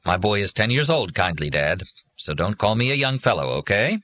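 A man acting out a shaky, edgy grown man on the verge of snapping, his voice raised and irritable. The delivery is lively and close. There is no room sound around it. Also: fairly low pitch, fairly quick, no echo. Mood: tense. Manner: edgy, irritable, near shouting.

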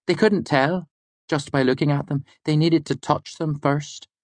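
A man voicing a clever, determined female student in an audiobook, explaining in a measured, insistent way. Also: a little high, steady tone, no room sound, pitched above the performer's own voice, lightly acted. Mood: earnest, insistent. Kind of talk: audiobook character dialogue.

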